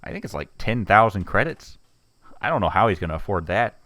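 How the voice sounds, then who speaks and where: A man's voice: on the low side, sing-song, brisk, pushed and bright, no room sound, clean studio sound. A man playing an easygoing working-man mercenary in a sci-fi audio drama, casual and teasing in his talk. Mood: casual, resigned.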